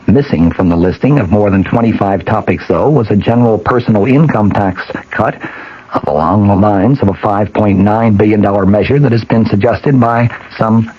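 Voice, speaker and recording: Male, American, low voice, slightly husky, measured news delivery, dull 1970s radio recording. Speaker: news reporter. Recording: muffled 1970s radio broadcast.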